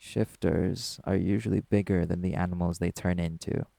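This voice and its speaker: A man's voice: steady tone, normal speed, mellow, no echo. A man playing a brash, guarded young werewolf musician in an audio drama, with curt, sarcastic, dismissive delivery. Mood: grudging, weary. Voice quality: gravelly, low. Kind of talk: dramatic audio roleplay acting.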